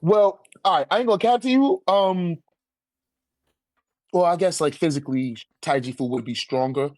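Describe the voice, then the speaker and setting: A man's voice: fairly high pitch, normal speed, darkish tone, no echo. A man in a casual debate, speaking informally with heavy slang and swearing. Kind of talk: casual debate about manga character rankings.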